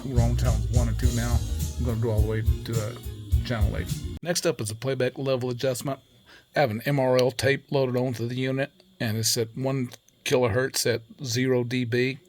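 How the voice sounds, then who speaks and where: A man giving a casual hands-on technical tutorial in a methodical, matter-of-fact way, pausing as he goes. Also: medium pitch, level tone, soft background music. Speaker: presenter demonstrating equipment calibration.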